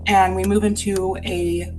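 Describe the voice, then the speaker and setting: A woman's voice: mid-range voice, flat delivery, a little slow, music in the background, low-quality recording. A woman from Utah telling a personal ghost story in an earnest, candid, reflective way.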